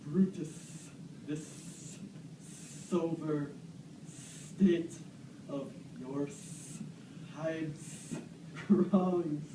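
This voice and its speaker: Man acting aggrieved animal commander, halting delivery, slightly high, puppet play, distant noisy recording. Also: steady tone. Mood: stern, aggrieved. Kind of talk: amateur read-aloud puppet play. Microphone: far camera. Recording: echoey classroom, distant mic, clear noise.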